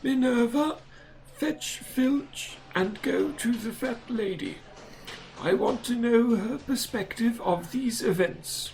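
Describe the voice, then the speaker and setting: A performer voicing an elderly male wizard headmaster in a fan audio drama, speaking formally and deliberately with calm authority. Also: medium pitch, gravelly, a little hiss or hum, low-quality recording. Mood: grave, commanding.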